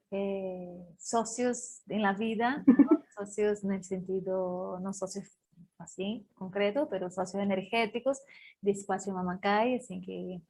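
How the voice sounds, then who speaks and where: Host, female, introduces guest haltingly, restarts on names. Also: mid-range voice, a little mellow. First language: Portuguese. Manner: halting, self-correcting over names and words. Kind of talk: online talk introduction.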